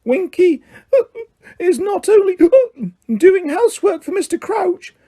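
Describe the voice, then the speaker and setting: Brief: squeaky, stammering drunk elf girl, tearful, way up high and slow. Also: animated, dark tone. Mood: tearful, slurring. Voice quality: squeaky, stammering with many uh's. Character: drunk, weepy female house elf, tiny. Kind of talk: audiobook character voice.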